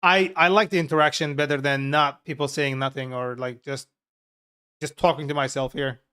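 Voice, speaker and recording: A man from Turkey with a Turkish accent, a software developer chatting frankly and with profanity to his viewers while coding live. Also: fairly high pitch, steady tone, normal speed, clear, resonant tone. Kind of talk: casual live coding stream chat.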